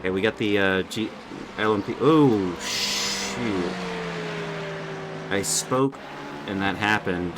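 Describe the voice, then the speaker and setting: Man, deep voice, casual racing commentary, improvised phrasing, heavy background noise. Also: slow, pauses often. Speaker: race commentator.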